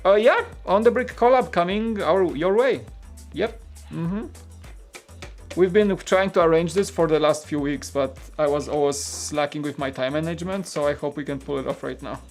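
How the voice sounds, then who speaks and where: A man from Poland with a Polish accent, hosting a live stream in a casual chat and reading out viewer comments. He talks in a rambling, relaxed way with many fillers and restarts. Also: on the high side, moderate pace, soft background music.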